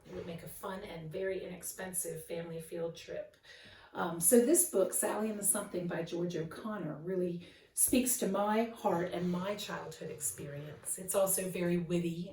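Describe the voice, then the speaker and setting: A middle-aged American woman hosting a children's picture-book readaloud, talking casually and reading with expressive emphasis. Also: fairly low pitch, roomy sound, rough recording.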